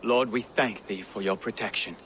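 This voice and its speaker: A man's voice: fairly low pitch, normal speed, fairly bright tone, slight background noise, close to an everyday voice. An actor playing a dour, pious young Saxon man with a dry, formal, sardonic manner in a game cutscene. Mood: pious, weary. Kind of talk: video game cutscene acting.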